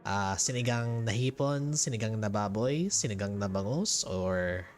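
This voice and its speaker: A man with a low voice and a Filipino accent chats casually to his stream. He speaks in halting bursts, circling back to repeat phrases, with faint game music playing behind him. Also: animated. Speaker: game livestreamer. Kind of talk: casual livestream chat. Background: video game music.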